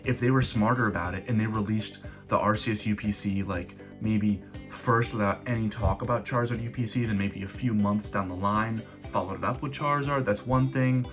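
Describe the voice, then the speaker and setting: A man's voice: lowish voice, normal speed, few pauses. A man coming down with a cold, hosting his own channel and explaining in a casual, rambling way with frequent restarts. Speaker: host of a card collecting channel. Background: background guitar music.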